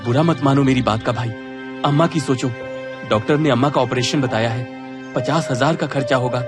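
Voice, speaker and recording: A man's voice: mid-range voice, a little fast, music in the background, close to an everyday voice. A man voicing a caring, earnest young stepson in a cartoon, sounding concerned. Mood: pleading. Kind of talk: Hindi moral-story cartoon dubbing.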